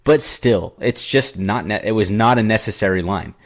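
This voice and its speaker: Low-voiced rambling young man. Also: moderate pace. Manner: rambling, filler-heavy retelling. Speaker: podcast co-host. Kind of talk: casual movie review chat.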